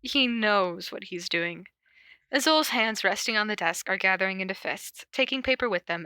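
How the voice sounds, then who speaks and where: A woman's voice: medium pitch, bright, forceful tone, no echo. A woman podcast host cold-reading fan fiction aloud, playful and self-deprecating, breaking off to correct her stumbles. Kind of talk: cold reading of stories with casual asides.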